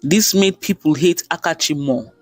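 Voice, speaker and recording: A man's voice: a little high, moderate pace, a little mellow, dry recording, soft background music. A man with a Nigerian accent narrating a folktale in short, dramatic sentences. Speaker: storyteller.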